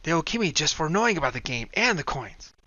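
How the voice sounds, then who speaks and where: A man's voice: sing-song, fast, bright, forceful tone, no room sound, dull audio. A man doing a frightened, worn-out young woman. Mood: pleading. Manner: pleading, weary, raised pitch for a woman.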